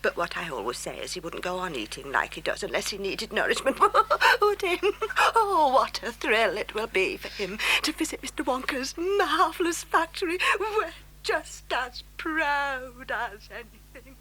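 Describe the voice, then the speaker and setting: An actress playing a proud, indulgent, gushing mother in an audio drama, excitable in manner. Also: fairly high pitch, normal speed, few pauses, slightly rough, heavily acted, rough recording.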